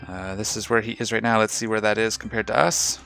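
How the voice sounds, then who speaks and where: A man hosting a casual game video, talking through his ship setup with a slightly rough, hoarse voice. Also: fairly low pitch, a little fast, faint music behind. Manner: relaxed, rambling, explains ship fittings. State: slightly rough voice after a night of singing. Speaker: gaming channel host. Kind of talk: casual gameplay commentary.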